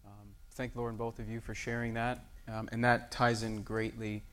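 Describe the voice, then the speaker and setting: A man's voice: medium pitch, completely flat delivery, moderate pace, fairly bright tone, a little hiss or hum. Friendly church youth leader, a man. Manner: friendly, chatty with children, then solemn in prayer. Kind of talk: church talk and prayer.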